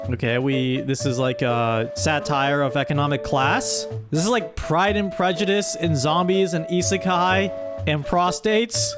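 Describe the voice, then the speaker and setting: A man with a high-pitched voice hosts a casual livestream. He reads aloud and reacts with playful, exasperated commentary. Music plays clearly behind him. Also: a little slow. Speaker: streamer hosting a chat story-writing game. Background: background music.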